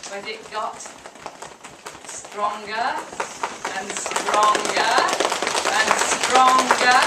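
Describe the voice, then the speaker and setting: A woman telling a children's story live at a puppet show, dramatically and deliberately, inviting the audience to join in. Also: medium pitch. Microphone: distant camcorder microphone. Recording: echoey room from far off, loud noise.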